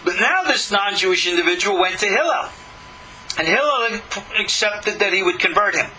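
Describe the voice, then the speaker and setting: A man's voice: high-pitched, expressive, normal speed, pushed and bright, slight background noise, poor audio. A man giving a religious lecture as a rabbi, reading scripture and commenting in an emphatic, argumentative way with rhetorical questions. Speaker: rabbi teaching a class.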